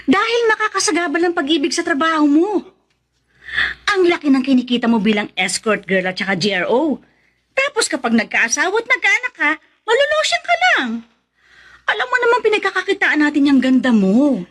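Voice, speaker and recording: An actress playing a scheming, mercenary older sister in a radio drama, pushy and mocking in an exaggerated way. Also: female voice, high voice, big pitch swings, a little fast, fairly bright tone. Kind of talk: Tagalog radio drama acting.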